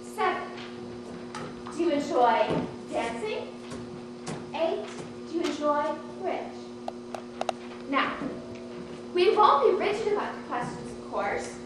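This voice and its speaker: Woman acting a high, honeyed aunt on stage. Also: very animated, slow pace, pauses often, exaggerated voice. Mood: coaxing, instructing. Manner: honeyed, theatrical, pleading. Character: genteel, coaxing older Southern aunt drilling her niece in charm. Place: theatre stage, recorded from far off. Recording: distant, echoey stage recording with loud noise.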